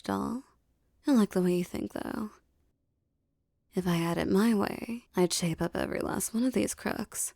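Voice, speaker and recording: A woman playing a tough but affectionate female mafia boss in an intimate ASMR roleplay, with teasing, streetwise talk and a soft voice. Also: medium pitch, gravelly, no echo, clean studio sound. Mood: disdainful, measured.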